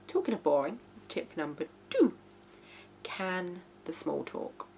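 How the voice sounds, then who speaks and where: A woman's voice: lowish voice, sing-song, slow, vocal fry, darkish tone. A woman in her thirties giving blunt, emphatic writing advice in an informal video from a living room. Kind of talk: informal video tutorial, talking to camera. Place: small living room indoors. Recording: hum and background noise.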